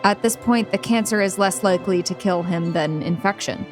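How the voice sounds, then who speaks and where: A woman playing a grave hospital doctor in an audio drama, speaking in a measured, clinical way. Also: mid-range voice, soft background music, lightly acted. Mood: clinical, sober. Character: grave, clinical female hospital doctor delivering bad news. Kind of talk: acted dialogue in an audio screenplay reading.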